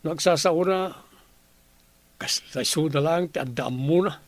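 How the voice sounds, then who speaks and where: A man's voice: fairly high pitch, measured pace, no echo, close to an everyday voice. A man with an Ilocano Filipino accent playing a troubled, earnest young man in a radio drama. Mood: dejected.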